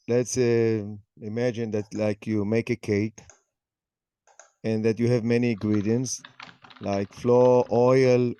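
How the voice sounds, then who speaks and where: Slow, mellow-voiced man explaining scripture. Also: mid-range voice, clear, resonant tone, dry recording. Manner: non-native English, halting. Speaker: participant in an online session. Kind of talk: explaining a Bible passage.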